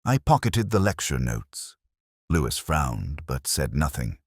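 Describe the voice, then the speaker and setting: A man reading a first-person literary detective mystery in a measured, reflective, melancholy way. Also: rumbling low, sing-song, slightly rough, no room sound, studio quality.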